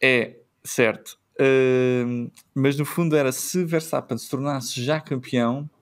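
A man's voice: medium pitch, fairly even intonation, slow, clean tone. A man with a European Portuguese accent hosting a casual podcast chat, steering the talk and laughing often. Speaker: podcast host.

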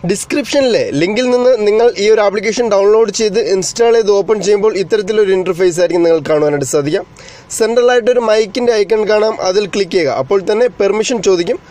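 A man's voice: sits high, quick pace, a little mellow. A man narrating an explanatory tech tutorial as its host, in a formal, wordy way that addresses viewers directly.

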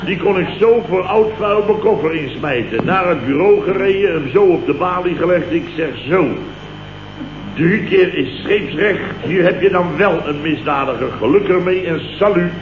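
An actor playing a boastful, weary, blustering boss in an old radio comedy, rambling and stammering. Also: male voice, sits high, normal speed, lightly acted. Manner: rambling, exclamatory, full of stammers and interjections. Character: blustering, boastful, weary male boss and amateur night watchman. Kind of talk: 1970s Dutch radio comedy acting. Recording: echoey, noisy, muffled old radio broadcast.

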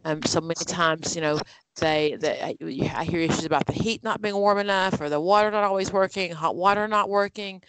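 A woman's voice: fairly low pitch, fairly quick, background noise. A woman leading an online exam-prep study group, explaining answers with everyday examples in a conversational way over a video call.

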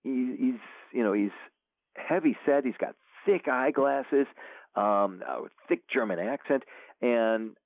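A man's voice: medium pitch, slow, gravelly, no echo. A man, an author being interviewed, talking casually and rambling with many fillers and restarts. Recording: tinny phone line.

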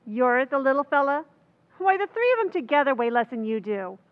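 A woman's voice: high voice, sing-song, brisk. A woman playing a sensible, scolding wife in a radio play. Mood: exasperated. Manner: scolding, dry. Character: sensible exasperated wife, sharp-tongued. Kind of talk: old-time radio drama acting. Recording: dull lo-fi recording.

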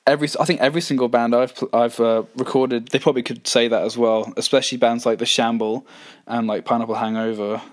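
A young man with an English accent hosting a podcast, relaxed and agreeable, with lots of backchannel replies. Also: medium pitch, quick pace. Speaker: podcast host. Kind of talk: casual chat.

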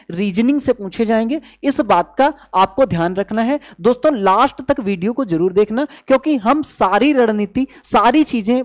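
A man's voice: sits high, a little mellow. A young man teaching an exam-strategy class, speaking emphatically, repeating his points and asking rhetorical questions. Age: young adult. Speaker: maths teacher at an exam coaching channel. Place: indoor teaching studio at a digital board.

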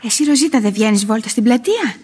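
An actress playing a snobbish, genteel spinster in a radio play, affected and boastful. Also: female voice, fairly high pitch, animated, a little mellow, husky. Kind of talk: radio theatre acting in Greek.

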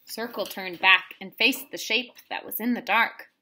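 A woman reading a children's storybook aloud expressively, with deliberate, dramatic phrasing. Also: medium pitch, bright, forceful tone, a little hiss or hum. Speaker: storybook reader, teacher. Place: room at home.

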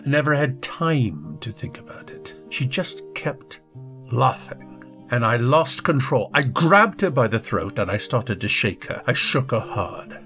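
An actor playing a guilt-ridden, anguished husband in a radio drama, speaking haltingly as emotion breaks through. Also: male voice, mid-range voice, big pitch swings, sharp tone, soft background music, exaggerated voice. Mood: tormented confession. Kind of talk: old-time radio drama acting.

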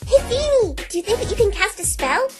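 A very high-pitched, childlike cartoon girl pony. She is bubbly and scatterbrained, swinging up and down in naive, chirpy delight. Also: sing-song, brisk, music in the background. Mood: excited, chirpy.

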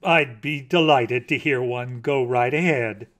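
A man's voice: a little high, sing-song, unhurried. A man voicing a male mockingbird in a storybook, a cordial, pedantic critic who lectures in an effusive, condescendingly kind way. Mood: cordial, approving.

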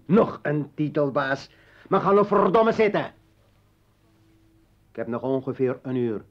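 A sardonic rebel poet with a gun in an old radio drama, bit high voiced, muffled lo-fi sound. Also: male voice, expressive, unhurried. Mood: threatening, commanding. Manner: taunting, commanding. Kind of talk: Dutch radio play acting. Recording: dull old radio recording.

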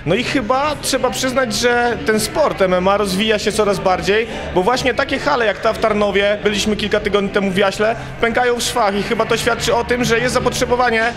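A man's voice: sits high, brisk, hardly any pauses, over music. A man in his thirties interviewing for a web TV channel, asking questions hesitantly with fillers, holding a handheld mic in an indoor event hall.

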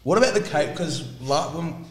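A man's voice: on the high side, lively intonation, moderate pace. A man hosting a podcast in casual chat, telling stories in a rambling, profane way.

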